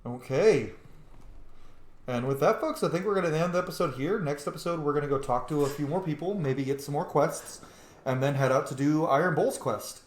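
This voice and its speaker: A man's voice: on the high side, fairly quick. A man giving casual, blunt and often profane commentary while roleplaying through a video game. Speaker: gaming video creator. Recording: roomy echo on the voice.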